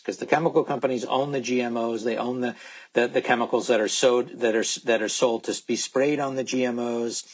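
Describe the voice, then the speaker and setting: A man speaking as a guest expert in a candid interview, halting and repeating words as he insistently makes his points. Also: mid-range voice, slightly rough. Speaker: guest expert on organic food.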